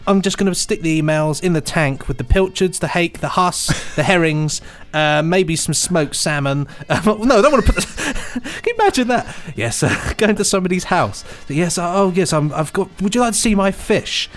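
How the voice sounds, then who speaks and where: Playful, high-pitched man with animated delivery. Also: normal speed, few pauses, soft background music. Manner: playful, riffing on silly jokes. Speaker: podcast co-host. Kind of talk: jokey casual chat.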